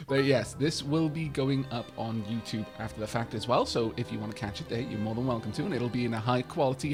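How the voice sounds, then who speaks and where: A fairly high-voiced man chatting on a live gameplay stream, rambling along with dry, wry humor and thanking viewers by name. Soft game music plays quietly in the background.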